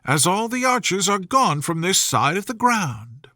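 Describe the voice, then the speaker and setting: A man's voice: high voice, very animated, a little slow, bright, forceful tone, no echo, studio quality, pitched above the performer's own voice, full character voice. A man voicing a polite, chatty young girl in a storybook, earnest and proper. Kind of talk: storybook character voice.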